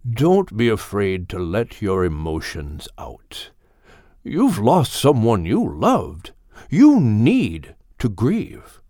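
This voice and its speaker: A man voicing a wise, gentle older male animal mentor in an audiobook, speaking in a measured, firm but kindly way. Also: on the low side, huge pitch swings, dry recording, studio quality, exaggerated voice. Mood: tender, counseling. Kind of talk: audiobook character voice.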